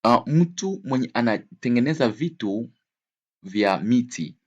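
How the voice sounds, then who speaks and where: A man gives a vocabulary lesson in a somewhat husky, mid-pitched voice. He explains terms with simple examples and repeats key words. The recording sounds slightly dull and lo-fi. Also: animated. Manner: didactic, repeats key words slowly and clearly; switches between English, Swahili and French. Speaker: English teacher.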